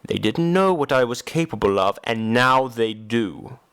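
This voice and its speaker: A man playing a brooding, tormented reclusive composer in an audio drama, speaking formally and gravely with deliberate phrasing. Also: medium pitch, expressive, a bit bright. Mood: resolute, cold.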